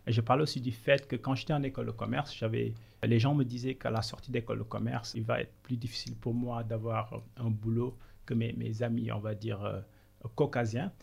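Gravelly-voiced man from Senegal, flat delivery. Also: mid-range voice, fairly quick. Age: 30s. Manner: earnest, motivational storytelling. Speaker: podcast guest, business consultant. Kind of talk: conversational interview.

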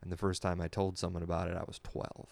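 A man's voice: deep voice, completely flat delivery, fast, vocal fry, darkish tone, dry recording. A man in his thirties hosting a podcast, talking in a casual, plainspoken, conversational way. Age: 30s. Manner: informal, plainspoken. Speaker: podcast host.

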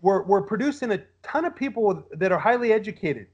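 High-pitched male voice, dull lo-fi sound, podcast guest, casual opinionated talk, rhetorical questioning. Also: expressive, a little fast, slightly rough. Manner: rhetorical questions, acts out imagined quotes. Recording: dull lo-fi audio.

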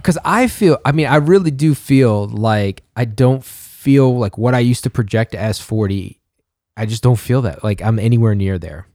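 A man in his thirties chatting casually as a co-host, rambling with halting phrases and many fillers. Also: mid-range voice, lively intonation, warm tone, no room sound, studio quality. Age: 30s. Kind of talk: casual chat.

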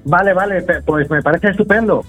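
A man's voice: high-pitched, a little fast, fairly bright tone, faint music behind. A young man from Madrid, a nervous new radio intern who stammers and keeps apologizing. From Madrid, Spain.

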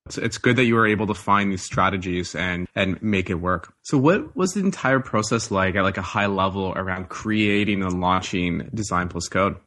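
A man's voice: low voice, normal speed, hardly any pauses, a bit bright. A man interviewing a guest, speaking in an encouraging, conversational way. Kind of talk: casual interview questions.

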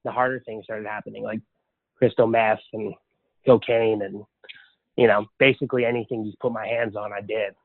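A man's voice: on the low side, flat delivery, normal speed, no echo. A man from Hawaii, a podcast guest, telling his life story in a candid, plainspoken way. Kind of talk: casual interview storytelling. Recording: tinny, dry phone-like line.

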